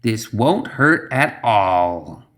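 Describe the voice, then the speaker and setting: A man voicing a scheming swamp witch in a fantasy audiobook, with a slow, drawn-out, portentous delivery. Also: fairly low pitch, very animated, fairly bright tone, full character voice. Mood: ominous, deliberate. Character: Zoraida, scheming deliberate swamp witch woman.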